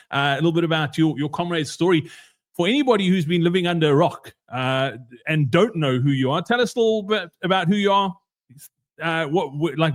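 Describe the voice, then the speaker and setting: A South African man hosting a casual podcast interview, chatty and informal, often repeating his phrases. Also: high voice. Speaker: podcast host.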